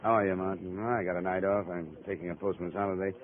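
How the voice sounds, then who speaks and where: Hardboiled male investigator played by an actor, American, low, clipped delivery, tinny vintage radio sound. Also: fairly even intonation, fast, slight background noise, close to an everyday voice. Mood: grave. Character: hardboiled male insurance investigator, cool and probing. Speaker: lead radio actor, narrator. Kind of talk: 1950s radio detective acting, first-person narration. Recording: muffled old radio recording, tinny.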